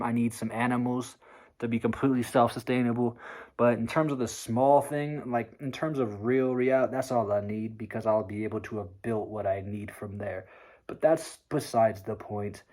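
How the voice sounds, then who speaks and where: A man preaching hard work in a blunt, profane rant to the camera. His voice sounds sore and strained from talking. He is neither high nor low in pitch. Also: a little mellow. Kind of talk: motivational rant vlog.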